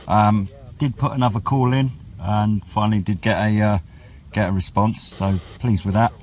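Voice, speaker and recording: A British man chatting casually in a vlog, with a rambling, unhurried, low-key way of talking. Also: fairly even intonation, a little mellow. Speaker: radio hobbyist vlogger. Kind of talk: casual outdoor vlog chat. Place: outdoors on wooded high ground by a road. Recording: outdoor noise with passing vehicles.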